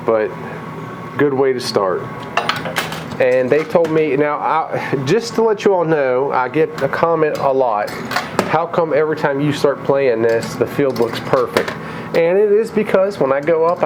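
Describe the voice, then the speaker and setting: A man with a cold, a little raspy and tired, chatting casually through a coin pusher vlog in an arcade. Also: mid-range voice, noisy background. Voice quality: slightly mumbled from a lozenge. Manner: chatty, excitable at wins. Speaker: vlogger.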